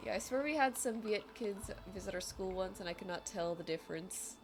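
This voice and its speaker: A woman's voice: medium pitch, a little fast. Chatty woman streamer, light Filipino accent. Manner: chatty, self-deprecating, laughs often, reads chat aloud. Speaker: game streamer. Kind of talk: casual live-stream chat while gaming. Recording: game music under the voice. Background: game music.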